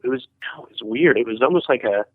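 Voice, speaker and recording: A man's voice: mid-range voice, expressive, no room sound. Rambling man on a tinny phone line. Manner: halting, rambling, full of hedging fillers. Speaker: caller sharing a ghost story. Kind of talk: casual storytelling. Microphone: telephone.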